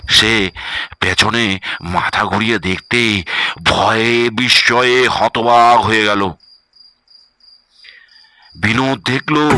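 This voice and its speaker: A man with a lowish, bright and forceful voice narrates a scary story. His delivery is measured and suspenseful, full of pauses, with clear background noise of night crickets. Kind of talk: dramatic scripted horror story narration.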